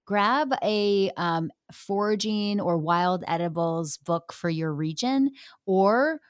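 A woman's voice: medium pitch, slow pace, clean tone, no room sound. Enthusiastic woman host, dry sound. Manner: enthusiastic, warm storytelling with fillers. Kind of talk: casual interview chat.

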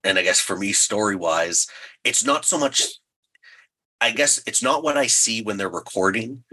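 A man's voice: lowish voice, expressive, normal speed, sharp tone, husky, poor audio. A man talking as an interview guest in a thoughtful, earnest and reflective conversational way. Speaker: audio drama writer and editor, guest.

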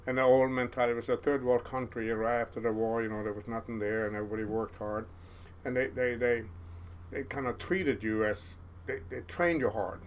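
A man in his seventies with a Norwegian accent, telling stories as an interview guest in a halting way, with many restarts. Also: mid-range voice, fairly even intonation, a little hiss or hum. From Norway. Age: 70s. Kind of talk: casual storytelling interview.

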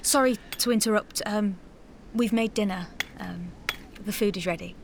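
A woman's voice: medium pitch, normal speed, slight background noise, close to an everyday voice. Actress as a tentative, kindly village woman. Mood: tentative, awkward. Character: gentle, awkward, caring village woman in wartime aftermath. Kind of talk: naturalistic audio drama acting.

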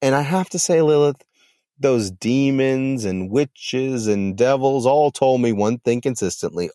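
A man voicing a calm, dismissive female demon who runs a party. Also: medium pitch, dry recording, clean studio sound. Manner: breezy, condescending.

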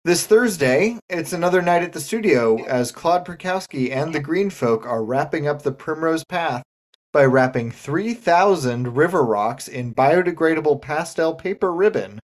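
A man playing a reluctant, easily flustered host of a small-town community radio arts show, hesitant and deadpan in an audio comedy. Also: a little high, moderate pace, lightly acted. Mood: announcing.

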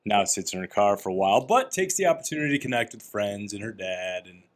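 Low-voiced man hosting an interview. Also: fast talker. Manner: relaxed, friendly questioning, teasing. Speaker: host. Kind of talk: conversational interview.

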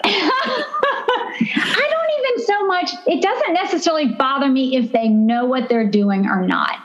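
A woman talking openly in a candid interview, often pausing and restarting her sentences. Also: high-pitched, dull audio. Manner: open, hesitant, many restarts and fillers.

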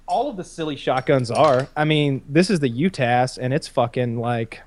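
A man's voice: medium pitch, lively intonation, normal speed. A man in his thirties in casual chat, rambling with frequent restarts and swearing. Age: 30s.

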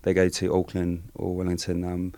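A man from Samoa whose first language is Samoan, talking softly with many fillers as a guest on a podcast. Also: sits low, monotone, moderate pace, dry recording. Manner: soft-spoken, with many fillers. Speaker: guest, rugby league player. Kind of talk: casual podcast interview.

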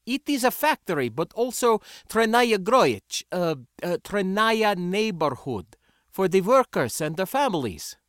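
A man voicing a scornful, quick-tempered young woman rebel in an audiobook, with emphatic, dismissive delivery. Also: high voice, big pitch swings, fairly bright tone, clean tone, dry recording, studio quality, pitched above the performer's own voice, heavily acted. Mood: insistent.

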